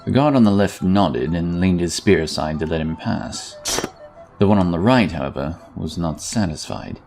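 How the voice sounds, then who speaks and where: A man with a low, gravelly voice narrates a fantasy story. His delivery rises and falls expressively, and faint music plays behind him. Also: lively intonation, normal speed. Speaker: audiobook narrator.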